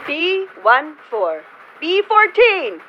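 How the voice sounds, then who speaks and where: An actress playing an upbeat radio bingo caller, announcing through a radio filter in an audio drama. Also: female voice, very high voice, big pitch swings, drawn-out words, exaggerated voice. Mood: showy. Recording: muffled radio broadcast with faint noise.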